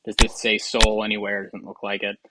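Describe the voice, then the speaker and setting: A man's voice: fairly low pitch, completely flat delivery, a little fast, very noisy. A man hosting casual gaming commentary, rambling and thinking aloud with some hesitation. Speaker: video host.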